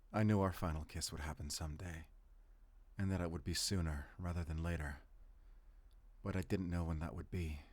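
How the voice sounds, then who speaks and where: Guy doing a low voiced broody hunter boyfriend, guilt-ridden, earnest n intimate, close dry sound, audio roleplay. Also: dry recording. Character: brooding, guilt-ridden male werewolf hunter, tender lover. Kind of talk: cinematic romantic audio roleplay.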